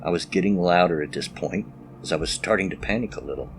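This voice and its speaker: A man with an extremely deep voice reads scary stories in the first person. He works as a storyteller, with music playing clearly behind him. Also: fast talker. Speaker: story narrator.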